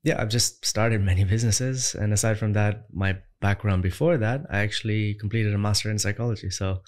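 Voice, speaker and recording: A man's voice: fairly low pitch, fairly even intonation, fairly quick, darkish tone. A young man from Ireland, a business founder, answering interview questions in an emphatic, conversational way that builds into punchy rhetorical questions. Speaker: entrepreneur guest.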